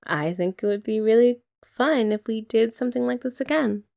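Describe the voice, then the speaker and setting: A young woman doing a soft, teasing, affectionate ASMR girlfriend roleplay, often laughing, close to the mic. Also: a little high, normal speed, gravelly.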